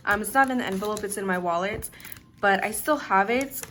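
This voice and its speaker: Raspy-voiced young woman chatting casually. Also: medium pitch, a little fast, a little hiss or hum. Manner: relaxed, chatty, uses "like" and "literally" often. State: slightly raspy from lack of sleep. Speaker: budgeting vlogger. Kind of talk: casual chat to camera.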